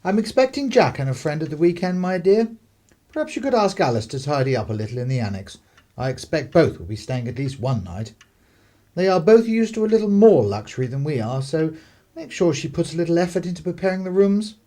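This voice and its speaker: Middle-aged English clergyman father, played by a man, stern and pompous, formal delivery, lively intonation, for period radio drama. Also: fairly high pitch, brisk, close to an everyday voice. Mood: mildly preoccupied. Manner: formal, disapproving. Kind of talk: radio play acting.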